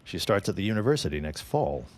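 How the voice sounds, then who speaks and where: An actor playing a courteous, earnest gentleman in a period audio drama, with a genial, expressive manner. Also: low voice, quick pace. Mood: earnest, confiding. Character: courteous, earnest widowed man, eager suitor, 1910s drama.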